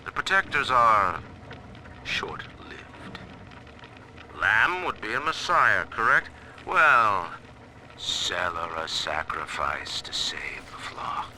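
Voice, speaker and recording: Calculating male game tycoon, noisy audio diary, deliberate, slow, commanding, animated intonation. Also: on the low side, full character voice. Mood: stern, commanding. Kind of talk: recorded audio diary, dramatic game acting.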